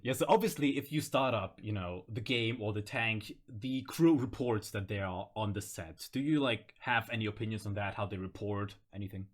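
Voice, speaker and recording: A man's voice: lowish voice, normal speed, few pauses. A man hosting a casual interview, asking questions and chatting with his guest. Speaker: host, interviewer.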